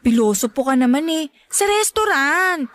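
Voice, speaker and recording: A woman's voice: sits high, expressive, moderate pace, fairly bright tone. A woman playing a sweet, coy young woman in love in a radio drama, with a Filipino accent. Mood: coy, smitten.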